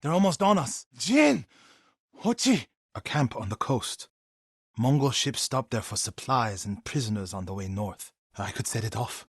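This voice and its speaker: A man playing a devout, grieving warrior monk in a video game, earnest and intense, with a husky voice. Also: mid-range voice, lively intonation, moderate pace, dry recording, studio quality. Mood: urgent, commanding. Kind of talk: dramatic video game acting.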